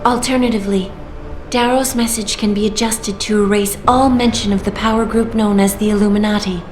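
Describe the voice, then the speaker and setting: A woman voicing a female artificial intelligence in a video game, speaking in a smooth, measured and coolly persuasive way. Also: medium pitch, fairly even intonation, a little mellow, slight background noise, natural voice. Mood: calm persuasion. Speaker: AI guiding the player through a choice. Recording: roomy game audio with clear music.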